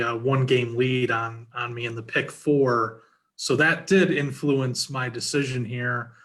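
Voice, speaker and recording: A man giving informal sports analysis as a panelist, in a halting way, repeating words as he builds his point. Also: mid-range voice, fairly bright tone.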